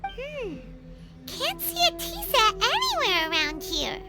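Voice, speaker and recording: A woman voicing a tiny, childlike female fairy companion in a video game, speaking in a hesitant, drawn-out way. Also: huge pitch swings, loud music behind the voice, exaggerated voice. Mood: thoughtful. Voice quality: squeaky, childlike. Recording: loud background noise.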